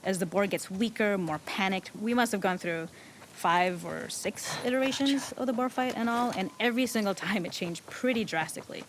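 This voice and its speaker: A woman's voice: medium pitch, moderate pace, a little hiss or hum, rough recording. A woman working as a game level designer gives explanatory developer commentary on her work.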